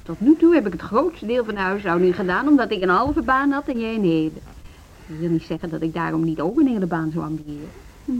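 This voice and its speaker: A woman's voice: medium pitch, lively intonation, fast, slight background noise, close to an everyday voice. A woman acting an independent, assertive music teacher and wife in a Dutch social radio drama. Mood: firm, indignant. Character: independent, spirited music teacher, wife standing her ground. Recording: dull, band-limited radio drama recording.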